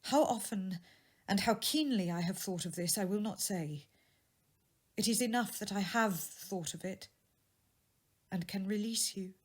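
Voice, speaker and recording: A woman playing dignified young sweetheart, gentle, earnest, sorrowful, unhurried pace, medium pitch, audiobook drama. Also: slightly rough. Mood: sad, earnest. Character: sorrowful, dignified young woman releasing her changed fiancé. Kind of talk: dramatised audiobook reading of a classic tale.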